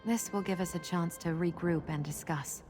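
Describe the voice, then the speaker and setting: A woman voices a composed adventurer in a fantasy game. Her voice sits a little low, measured and earnest with a reserved temper, over faint background music. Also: darkish tone, natural voice. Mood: weary, reflective. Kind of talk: video game character acting.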